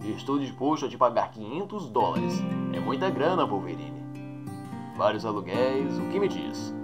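Man acting cocky old retired hero, Brazilian accent, lively swooping intonation, breezy, persuasive, motion comic dub, loud music. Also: mid-range voice, moderate pace, close to an everyday voice. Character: cocky old blind male ex-hero, eager talker. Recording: music under the voice.

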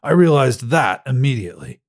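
A man's voice: mid-range voice, very animated, normal speed. A man voicing an irritated, sceptical father in an audiobook story, with a curt, huffy manner. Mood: scornful, curt. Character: irritated, sceptical, scornful father on a museum visit.

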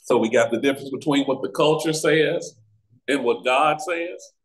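An American man teaching a Bible study as a pastor, with deliberate, emphatic phrasing and pauses, over a video call. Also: mid-range voice, poor audio. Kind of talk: Bible teaching with scripture reading. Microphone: video call microphone.

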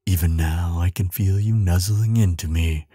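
A man playing a gentle, affectionate giant in an intimate ASMR audio roleplay, soothing and earnest, murmuring tenderly with soft hums and sighs. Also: low-pitched, moderate pace, breathy edge, no room sound, studio quality. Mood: thoughtful, affectionate.

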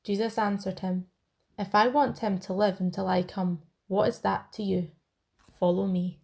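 A woman's voice: medium pitch, flat delivery, normal speed, creaky, darkish tone. A woman reading a Bible passage aloud as a scripture reader.

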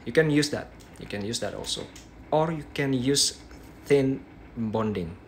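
A man's voice: medium pitch, expressive, slight background noise. Indonesian-accented young man with a sore throat. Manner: halting, frequent "yeah" tags and restarts. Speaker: dentist teaching a live demo. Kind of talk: live-stream teaching, reading viewer questions. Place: small bedroom at night. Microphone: phone held close at arm's length.

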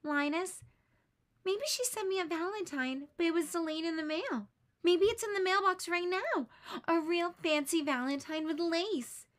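A bashful, anxious boy speaks in a high, light voice, as a woman reads him aloud. He sounds nervous and wistful, gently put on for a picture book. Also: moderate pace. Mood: hopeful. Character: anxious shy boy, hesitant and gloomy.

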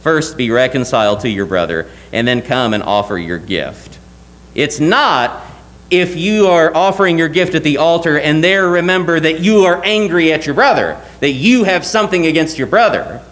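A man's voice: on the high side, sing-song, moderate pace, a bit bright. A young man preaching a sermon from a church pulpit, earnest and emphatic, often asking rhetorical questions. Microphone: lectern mic, wide camera.

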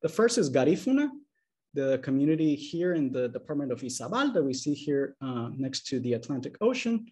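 A man's voice: on the high side, big pitch swings, a little mellow. Man giving an academic slide lecture. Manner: measured, explanatory, checks in with "okay?". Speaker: linguistics lecturer. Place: small room indoors. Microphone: video call webcam.

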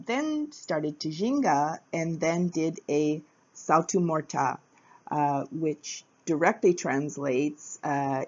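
A woman narrating a short capoeira lesson video in a casual, halting way, with frequent uh fillers between phrases. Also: sits low, a little mellow, lo-fi sound. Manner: hesitant, many uh fillers, plain. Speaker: capoeira teacher.

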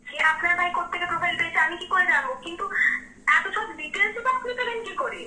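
A young woman speaks quickly in an extremely high voice with a West Bengal Bengali accent. She protests indignantly over a phone call. The sound is echoey, with faint background noise. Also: expressive, pushed and bright. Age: young adult. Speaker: prank call recipient. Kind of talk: phone conversation.

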